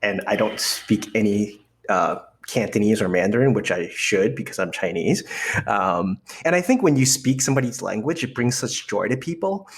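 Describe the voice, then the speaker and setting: A man's voice: fairly low pitch, lively intonation, normal speed. A man chatting as a podcast guest, playful and self-deprecating. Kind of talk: casual chat.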